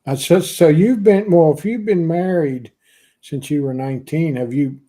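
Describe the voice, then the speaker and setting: Man from Pennsylvania, casual host, mellow tone, chatty, laughs easily. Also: on the high side, moderate pace. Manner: chatty, warm laughs, many "you know" fillers. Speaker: podcast host. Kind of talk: casual chat on a livestream.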